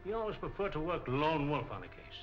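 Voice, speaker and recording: An actor playing a sober, supportive middle-aged police chief, measured and formal, in a 1940s film. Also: male voice, fairly high pitch, big pitch swings, soft background music. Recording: dull, band-limited 1940s film soundtrack.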